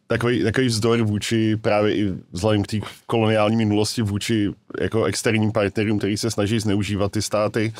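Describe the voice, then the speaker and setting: A man speaking as an expert guest in a studio panel, measured, adding to others' points. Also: on the low side, a little mellow, no echo. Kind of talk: panel discussion. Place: small studio with wooden table. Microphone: desk mic on boom arm.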